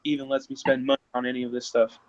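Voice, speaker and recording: A man in his thirties chatting casually, often stammering and restarting, growing animated when excited. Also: medium pitch, racing. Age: 30s. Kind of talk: casual chat. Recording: dull lo-fi sound.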